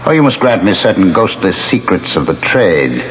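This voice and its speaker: An actor playing a smug, scheming male ghost in a 1970s radio drama, suave and taunting, with deliberate, theatrical precision. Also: low voice, sing-song. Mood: smooth, calculating. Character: smug, scheming male ghost plotting his wife's murder. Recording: dull, noisy old radio broadcast recording.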